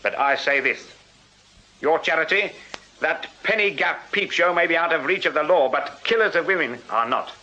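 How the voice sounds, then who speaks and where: Casting: formal, insistent Victorian police sergeant, bright forceful delivery, radio drama, faint noise. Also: male voice, a little high, animated, moderate pace, highs cut off. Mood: firm, probing. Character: shrewd, commanding Victorian police sergeant detective. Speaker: actor in detective radio drama. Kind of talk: full-cast radio drama acting.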